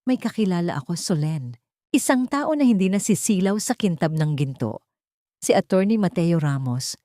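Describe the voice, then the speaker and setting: Kindly old male gardener played by a woman, soft-spoken, caring, mellow, for an audiobook. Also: low-pitched, dry recording, studio quality.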